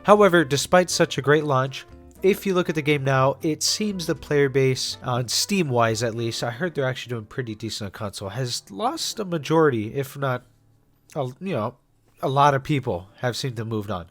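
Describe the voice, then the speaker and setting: A young man talks through a scripted gaming video essay, his voice a little congested after being sick. He speaks casually, stumbling into restarts and fillers now and then, at a mid pitch, with faint music under him. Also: lively intonation, moderate pace, fairly bright tone. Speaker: gaming YouTuber.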